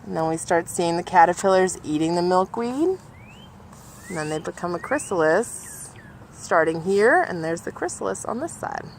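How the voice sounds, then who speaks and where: A woman with a slightly low voice reads a picture book aloud for children. She addresses her listeners directly in an explanatory way. There is some ambient noise behind her. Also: animated, moderate pace, poor audio. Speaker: storybook reader for a nature organization.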